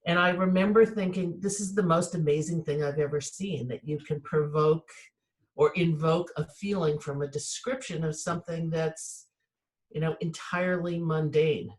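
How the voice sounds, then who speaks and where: A woman who teaches writing, talking through a personal memory in a reflective, measured way. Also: low-pitched, fairly even intonation, darkish tone. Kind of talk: casual conversation.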